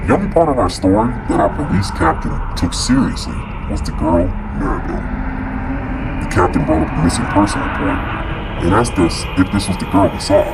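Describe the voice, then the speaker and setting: Man acting a very deep-voiced, grave haunted policeman. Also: very noisy. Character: grave male police officer recounting a haunting. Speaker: storyteller. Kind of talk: scripted horror story narration in first person. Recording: loud music under the voice. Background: loud background music.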